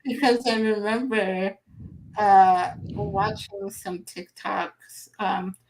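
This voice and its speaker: A woman hosting a live online interview, speaking in an unhurried, halting way as she reads viewer comments aloud. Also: mid-range voice, steady tone, darkish tone, rough recording. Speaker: livestream host.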